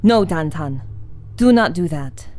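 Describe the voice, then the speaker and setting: An actress playing a powerful, solemn alien woman in a pulp science fiction radio drama, speaking in a measured, formal way. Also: low-pitched, lively intonation, a little mellow, soft background music. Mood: solemn, amazed.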